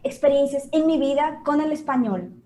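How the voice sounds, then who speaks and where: A woman's voice: high-pitched, moderate pace, dark tone. A Brazilian woman whose first language is Portuguese, a Spanish teacher speaking as a guest over a video call and searching for her words.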